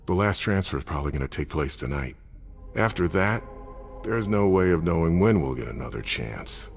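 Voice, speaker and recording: A cautious, uncompromising vampire strategist, with an extremely deep voice full of creak. He is blunt and matter-of-fact in dramatic game cutscene style, with music underneath. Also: lively intonation, moderate pace. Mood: serious, cautious.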